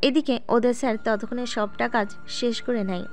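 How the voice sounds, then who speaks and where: A woman's voice: fairly high pitch, fairly even intonation, a little fast. A woman narrating a scripted audio story. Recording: background music under the voice.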